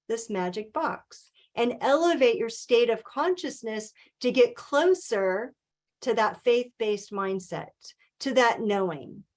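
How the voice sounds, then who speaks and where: A woman speaking as a guest in a webinar interview, talking calmly and earnestly in a coaching tone, with the odd "um". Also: fairly high pitch. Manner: calm, earnest, coaching tone with filler ums. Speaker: guest speaker, author and financial advisor. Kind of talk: webinar interview, motivational talk.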